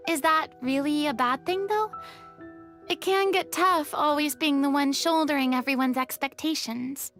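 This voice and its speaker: A woman playing an earnest, gentle, girlish young heroine in a video game. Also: sits high, normal speed, faint music behind.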